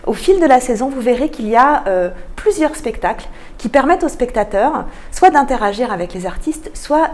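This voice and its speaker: A woman's voice: sits high, animated, moderate pace, hardly any pauses, a little mellow. A woman answering as a radio interview guest, giving articulate, enthusiastic explanations as a cultural programme manager.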